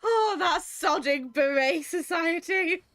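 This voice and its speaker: A woman's voice: extremely high pitch, animated, slow pace, fairly bright tone, no room sound. A British woman giving casual, sweary, exasperated commentary as she plays a video game. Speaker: video game streamer playing and reacting. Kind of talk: casual chat, let's-play commentary.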